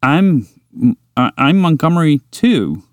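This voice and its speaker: A man voicing a big, gentle, slow-witted moose in a bedtime story, hesitant and bashful. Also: a little high, sing-song, no echo, clean studio sound, pitched above the performer's own voice. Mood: unsure. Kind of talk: storybook character voice.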